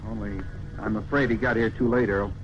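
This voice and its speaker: A man's voice: on the low side, steady tone, brisk, lightly acted. An actor playing a grim, plain-spoken male ranch owner in 1950s radio drama style. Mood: grave. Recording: muffled vintage radio recording, faint noise.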